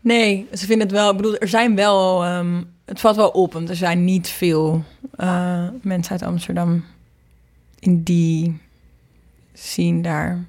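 Woman rambling casually in an interview, lowish voice, pretty flat pitch. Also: slow pace. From Netherlands. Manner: rambling, loose, informal. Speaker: singer and producer being interviewed.

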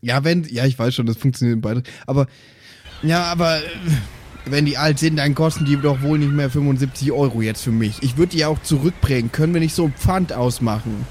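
A man's voice: medium pitch, a little fast, darkish tone, slight background noise, close to an everyday voice. A man playing an impatient northern German boatman who haggles hesitantly in an improvised tabletop roleplay. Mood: haggling. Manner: hesitant haggling, tags sentences with "nicht?".

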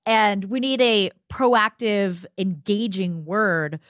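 A woman talks through ideas in a reflective group discussion. Her voice sits at a middle pitch, with an earnest manner and deliberate word choices. The sound is dry and close. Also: a bit bright, dry recording. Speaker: podcast panelist.

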